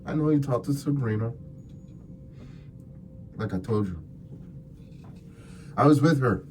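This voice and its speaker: A man puts on a laid-back, evasive male suspect for an ASMR crime roleplay. He drawls slowly in a mellow tone, slangy and defensive, with music behind him. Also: medium pitch, lively intonation, natural voice.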